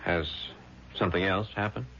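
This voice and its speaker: An actor playing a calm, probing family lawyer in a 1970s radio drama, measured, questioning and matter-of-fact. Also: male voice, low voice. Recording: dull, noisy vintage radio recording.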